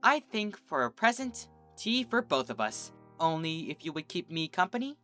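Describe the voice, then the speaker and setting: Man playing an elegant doting pony lady, posh and soft-spoken, lilting delivery. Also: on the high side, big pitch swings, moderate pace, soft background music, pitched above the performer's own voice. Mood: gracious. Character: refined elegant grown mare, gentle and doting.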